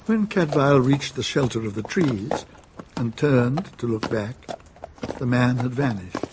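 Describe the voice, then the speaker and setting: A man narrating a radio drama in a measured, deliberate storytelling manner. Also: medium pitch, animated, background noise.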